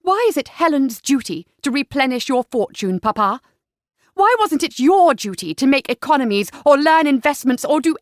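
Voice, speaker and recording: A woman voicing a spirited, defiant young gentlewoman in a historical romance audiobook, forthright and emphatic. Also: a little high, dry recording, studio quality, close to an everyday voice.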